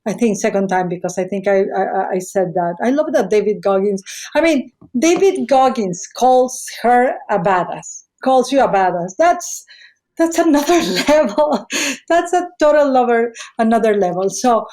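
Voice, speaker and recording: A woman's voice: fairly high pitch, animated, moderate pace, mellow. A middle-aged woman with a Mexican accent and native Spanish, chatting effusively and digressively as she hosts a podcast. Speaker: podcast host. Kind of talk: casual, chatty podcast introduction.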